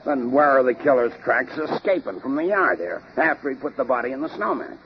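An actor playing an eager, plain-spoken tough police sergeant with a working-class American accent in an old radio drama. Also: male voice, mid-range voice, lively intonation, brisk, natural voice. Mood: puzzled, eager. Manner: folksy slang, puzzled. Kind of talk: 1930s-40s American radio acting. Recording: dull, noisy vintage radio recording.

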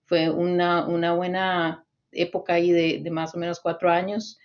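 Costa Rican woman, low muffled voice. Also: flat delivery, normal speed, a little hiss or hum. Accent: Costa Rican Spanish. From Costa Rica. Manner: thoughtful, hesitant restarts and fillers. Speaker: swine nutrition consultant, guest. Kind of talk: interview answers.

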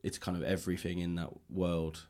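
A man hosting a conversational podcast and interviewing a guest, softening his phrasing as he goes. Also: sits low, flat delivery, brisk, no echo.

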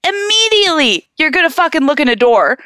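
A woman's voice: sits high, very animated, a little fast, bright, forceful tone, no room sound, clean studio sound. A woman co-hosting a podcast in casual chat, exclamatory and given to acting out little quotes. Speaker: podcast co-host.

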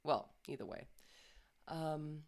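A woman's voice: sits low, takes it slowly, gravelly, darkish tone. A woman co-hosting a podcast, reading news casually, stumbling over words, swearing and laughing often. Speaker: podcast co-host.

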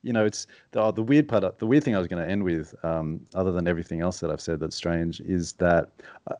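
A man with a very deep, low-pitched voice that comes out mumbly and soft-spoken. He talks quietly and reflectively in a casual interview, close to the microphone. The sound is dry and close, with no room around it. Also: brisk, no room sound. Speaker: podcast guest, author and strategist.

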